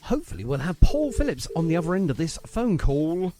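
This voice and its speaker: British bloke doing radio chat, jokey and teasing, highish animated voice, music behind him. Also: male voice, brisk. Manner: chirpy, jokey, teasing banter. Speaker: radio show host. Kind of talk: live radio show chat and interview. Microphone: studio radio microphone. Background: music bed.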